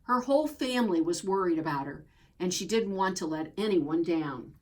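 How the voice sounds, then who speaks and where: A woman reading a children's picture book aloud as a storyteller, expressively and deliberately, drawing out key words. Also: fairly low pitch, expressive. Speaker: storybook reader.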